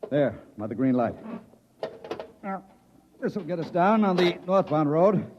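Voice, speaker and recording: An American actor playing a commanding middle-aged chief engineer in a 1950s radio drama, clipped, authoritative and no-nonsense. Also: male voice, fairly high pitch, very animated, unhurried, background noise. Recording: dull vintage radio recording.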